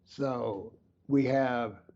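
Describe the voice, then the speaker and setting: A man being interviewed who explains his views earnestly and emphatically, sometimes dropping to a low whisper to stress a point. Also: drawn-out words, darkish tone, lo-fi sound, slight background noise. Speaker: interview guest, author.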